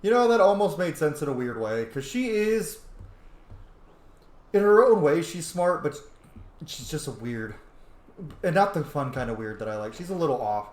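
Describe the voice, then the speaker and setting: A man's voice: fairly high pitch, very animated, moderate pace, pauses often, darkish tone, reverberant. A man giving casual, informal commentary on a game he is playing, with explanatory asides and some swearing. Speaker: gamer commentating a playthrough.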